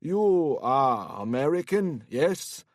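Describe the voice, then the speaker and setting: A man's voice: fairly high pitch, sing-song, slow pace, dry recording, clean studio sound. A man voicing a cheerful, drunk older Korean businessman who slurs his words in a put-on accent. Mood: cheerful, tipsy. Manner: slurred, loud, overly eager. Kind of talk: audiobook character voice.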